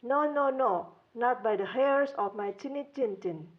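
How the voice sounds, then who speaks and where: A woman's voice: on the high side, measured pace, lo-fi sound, natural voice. A woman voicing small, defiant little pigs in a storybook tale with a sing-song refusal.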